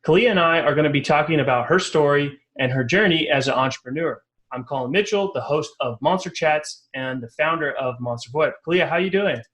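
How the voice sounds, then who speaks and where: A man's voice: on the high side, a little fast, fairly bright tone. A man hosting an interview podcast, asking loose, rambling questions and restarting his sentences. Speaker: podcast host and company founder.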